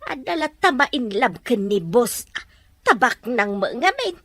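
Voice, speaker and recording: A woman's voice: on the high side, big pitch swings, measured pace, a bit bright, no room sound, heavily acted. A woman playing a concerned, indignant older household helper in an Ilocano radio drama. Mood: curious, disapproving.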